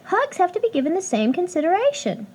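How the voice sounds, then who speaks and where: A woman's voice: very high-pitched, huge pitch swings, fast, a little mellow, exaggerated voice. An actress playing an eager, meddling young woman in an audio drama, chatty, emphatic and pushy. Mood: bossy, instructive.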